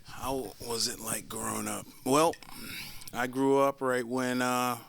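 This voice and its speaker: A man in his sixties from the Boston area, telling stories from his childhood in a relaxed way on a panel, chuckling often. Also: mid-range voice, takes it slowly, gravelly, slight background noise. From Boston area, Massachusetts. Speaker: panel guest. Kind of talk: casual storytelling.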